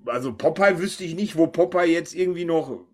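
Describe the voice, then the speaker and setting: A man's voice: a little high, a little fast, a little mellow. A man hosting a casual podcast chat, talking informally. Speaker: podcast host.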